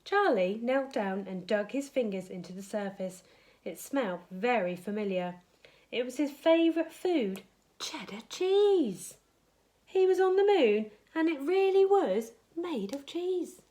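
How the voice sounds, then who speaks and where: A woman's voice: fairly high pitch, expressive, measured pace, dark tone. A woman reading a children's bedtime story aloud, expressively dramatising it for young listeners.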